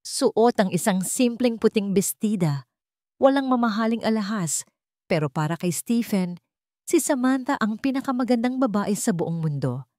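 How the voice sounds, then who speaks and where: A woman narrating a scripted romance drama in a measured, sentimental way. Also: mid-range voice, lively intonation, warm tone, dry recording, clean studio sound. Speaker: story narrator.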